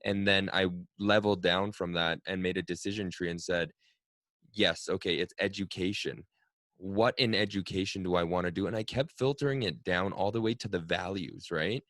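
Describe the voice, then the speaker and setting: A man's voice: sits low, moderate pace, a bit bright, dry recording. A man in his thirties, a native Cantonese speaker, hosting a casual interview podcast and talking reflectively with frequent tag questions. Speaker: podcast host.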